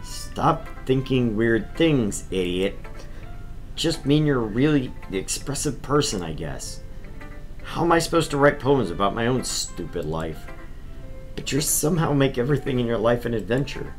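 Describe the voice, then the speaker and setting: A man's voice: medium pitch, sing-song, moderate pace, soft background music, natural voice. A man playing a wry, caring, self-deprecating teenage boy in a visual novel, speaking casually and expressively. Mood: awkward, earnest. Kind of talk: visual novel dialogue and first-person narration.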